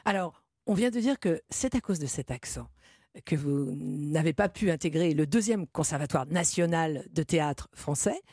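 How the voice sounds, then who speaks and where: A woman hosting a radio interview, asking polished questions with drawn-out fillers. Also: low-pitched, animated, husky, no echo, studio quality. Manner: polished, warm leading questions, stretched fillers. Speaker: radio host.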